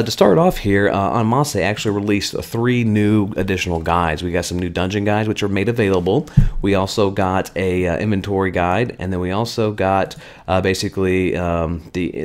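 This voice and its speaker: A man hosting a weekly game news update in a chatty, conversational way, his voice slightly hoarse. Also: low voice, normal speed. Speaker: gaming channel host.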